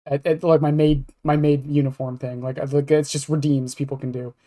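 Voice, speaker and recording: A man's voice: on the high side, level tone, a little fast, mellow. A man chatting casually on a podcast as a streamer, rambling and self-deprecating, with some swearing.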